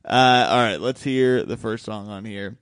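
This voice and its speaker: A man's voice: on the low side, unhurried, clean tone, no room sound. Young man, podcast host, jokey and rambling, laughs a lot, dry sound. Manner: joking, laughs often, rambling. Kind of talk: casual chat.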